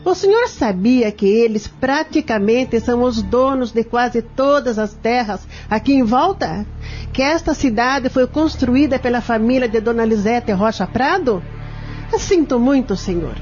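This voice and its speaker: Woman acting a high-voiced, curt, officious secretary. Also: expressive, measured pace, a little mellow, soft background music. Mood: indignant, stern. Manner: brusque, formal, dismissive. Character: curt, officious female law-office secretary, snobbishly indignant. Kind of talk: audio drama acting. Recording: dull, noisy lo-fi recording.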